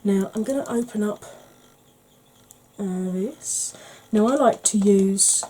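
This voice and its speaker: A woman from the UK, a beauty vlogger talking through a makeup tutorial in a quiet voice that keeps slipping into whispers. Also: medium pitch, slow pace, a little hiss or hum. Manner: quiet, soft-spoken, drifting into whispers.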